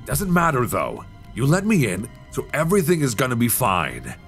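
A man's voice: mid-range voice, huge pitch swings, a bit bright. A man playing a shivering, defensive stranger in a horror audio roleplay, stammering and sighing as he talks. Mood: defensive, agitated. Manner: halting, stammering, breathy sighs. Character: shivering, defensive man, secretly an impostor creature. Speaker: voice actor. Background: music.

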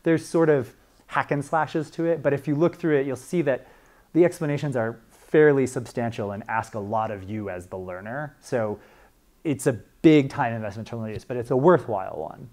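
A man's voice: mid-range voice, moderate pace, a little mellow. A young man, a librarian, teaching a class in a casual, chatty way with frequent fillers and restarts. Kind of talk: informal classroom talk.

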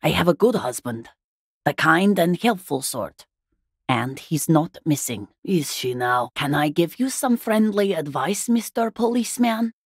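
A husky, weary working class woman. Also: sits low, animated, measured pace, dry recording, studio quality, full character voice. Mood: weary, insistent. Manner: defensive, exasperated, plain-spoken. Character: weary, guarded working class wife and mother. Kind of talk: video game character dialogue.

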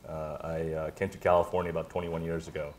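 Deep-voiced hesitant man on a panel. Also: little pitch movement, fast, a little mellow, slight background noise. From Taiwan. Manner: hesitant, frequent "uh" fillers, modest. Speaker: panelist, tech executive. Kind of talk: panel discussion. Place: conference auditorium stage.

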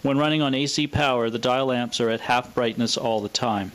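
A man presenting a scripted, explanatory product demonstration in a measured, matter-of-fact way. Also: medium pitch, fairly bright tone. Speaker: presenter of a vintage radio showcase video.

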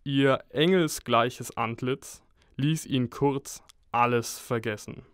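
Casting: male storyteller, mid-pitched, dry close sound, dramatic mock-heroic narration for comedy audio drama. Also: slow, clear, resonant tone, dry recording.

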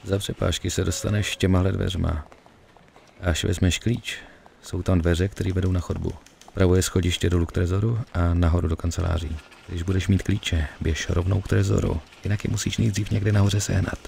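A man's voice: deep voice, steady tone, creaky, darkish tone, slight background noise. A man voicing a weary, streetwise middle-aged gangster in a Czech video game dub. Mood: businesslike. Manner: colloquial, grumbling, swearing.

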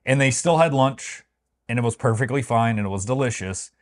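A man's voice: medium pitch, a little fast. A man co-hosting a travel vlog, chatting casually and giving opinions in a playful, wry way with mock-grand emphasis. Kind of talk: casual opinionated review chat.

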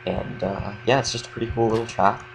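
Deep, gravelly young man with a cold. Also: brisk, noisy background. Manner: relaxed, rambling, lots of fillers. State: slightly congested from a cold. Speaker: game tutorial creator. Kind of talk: casual gameplay tutorial commentary.

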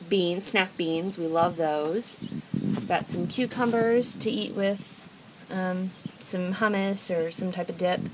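Low-pitched American woman, noisy home recording. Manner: informal, chatty. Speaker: home vlogger. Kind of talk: casual haul voice-over while filming. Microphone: handheld camera or phone, filming items. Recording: noisy handheld amateur recording, clicks.

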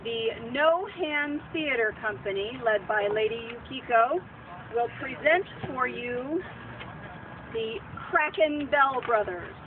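A middle-aged woman with a fairly high voice announces a show from an outdoor stage. The recording is thin, with clear background noise. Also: lively intonation, slow pace, narrow, tinny audio. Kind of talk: live stage introduction. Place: outdoor festival stage, camera at a distance.

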